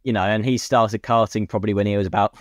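A man's voice: fairly low pitch, fairly even intonation, fast, no echo, lo-fi sound. A man co-hosting a podcast, chatting casually and matily about sport and offering his opinions. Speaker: podcast co-host.

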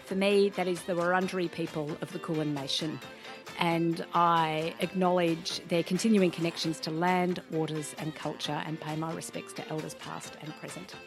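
Upbeat Australian woman hosting a podcast. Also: fairly low pitch. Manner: upbeat, chatty. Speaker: podcast host. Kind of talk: podcast interview.

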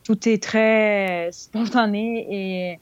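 A woman's voice: medium pitch, fairly even intonation, very slow, smooth, no room sound, lo-fi sound. Hesitant young woman from Serbia. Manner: hesitant, searching for words in a second language. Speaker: interviewee, former exchange student. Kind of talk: interview answers.

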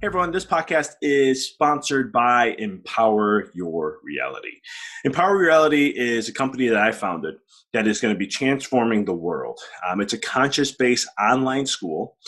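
A man's voice: medium pitch, a bit bright. A man hosting a podcast, earnest and upbeat, with occasional fillers as he introduces and asks questions. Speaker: podcast host.

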